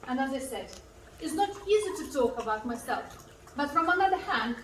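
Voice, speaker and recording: A woman from Lithuania, giving an earnest personal speech with pauses. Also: high-pitched, expressive, a bit bright, slight background noise. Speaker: speaker. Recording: echoey hall from far off.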